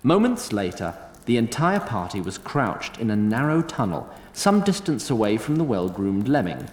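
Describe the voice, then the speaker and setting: A man narrating a scripted fantasy story in a measured, literary way. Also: fairly low pitch, very animated. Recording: roomy sound, faint noise.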